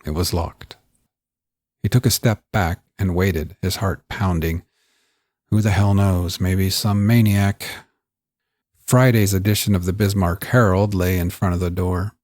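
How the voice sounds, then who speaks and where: A man reading scripted crime fiction in a measured, audiobook-style storytelling delivery. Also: deep voice, steady tone, darkish tone, no room sound. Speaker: narrator of a serialized crime story. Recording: music bed under the voice. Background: music.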